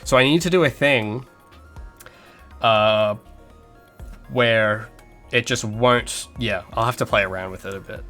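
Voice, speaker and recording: A man's voice: lowish voice, a little slow, pauses often, bright, forceful tone, faint music behind. A man from Australia, an indie game developer, chatting casually on a livestream, rambling with many fillers and restarts. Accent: Australian with some American sounds.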